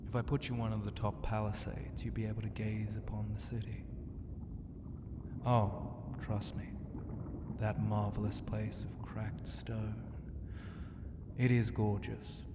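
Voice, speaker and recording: A man playing a flirty, self-assured ancient ocean god in an ASMR roleplay, teasing and grandiose, with a breathy voice that often drops into a whisper. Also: fairly low pitch, flat delivery, natural voice. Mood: relaxed, boastful. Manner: slow, teasing and grandiose, with hesitant hums and murmurs. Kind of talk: ASMR roleplay, intimate half-whispered delivery. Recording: long reverb, clear background ambient noise.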